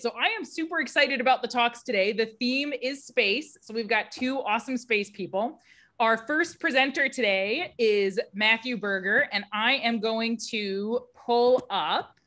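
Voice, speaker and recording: A woman's voice: a little high, pushed and bright. A woman hosting a science webinar over a video call, chatty, upbeat and candid. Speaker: webinar host.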